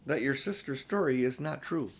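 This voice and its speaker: A man reading a storybook, voicing an old professor who is serious, courteous, deliberate and formal. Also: medium pitch, expressive, gravelly. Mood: grave, courteous.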